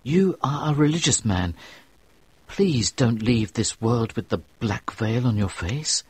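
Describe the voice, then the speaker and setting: A man's voice: medium pitch, lively intonation, slow, slightly rough, no room sound, voice pushed up. A man voicing an earnest, frightened young male minister who pleads and then cries out in alarm. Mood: pleading.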